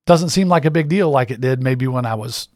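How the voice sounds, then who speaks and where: A man's voice: mid-range voice, a little fast, darkish tone, dry recording, studio quality. Easygoing fifty-year-old man from Iowa. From Central Iowa. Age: 50s. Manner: easygoing, short agreeable replies. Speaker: interview guest, insurance agent. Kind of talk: casual interview chat. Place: small radio studio.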